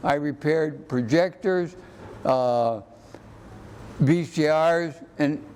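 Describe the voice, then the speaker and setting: An older American man reminiscing conversationally as an interview guest, halting and often repeating words. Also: fairly high pitch, a little mellow, a little hiss or hum.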